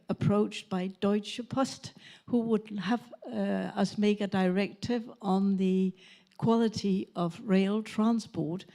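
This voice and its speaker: A woman from Denmark, speaking as a panelist at a conference in a measured, thoughtful way with pauses and fillers. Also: mid-range voice, gravelly, mellow. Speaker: conference panelist, former parliament member.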